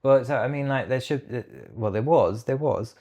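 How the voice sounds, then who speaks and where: A man's voice: mid-range voice, normal speed, mellow. A British man in his thirties speaking as an interview guest, recounting personal experiences in a reflective, hesitant way.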